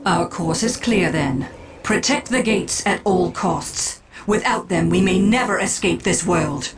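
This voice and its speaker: Solemn, imperious alien seer. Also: female voice, lowish voice, animated, a little slow, slight background noise. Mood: resolute. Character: proud, solemn female alien Eldar seer commanding her people. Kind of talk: dramatic video game acting. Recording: music bed underneath.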